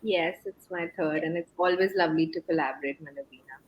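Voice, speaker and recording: A woman from India, an inclusion leader at schools, speaking as an interview guest, friendly and quick. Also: low-pitched, husky, muffled recording. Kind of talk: casual interview talk.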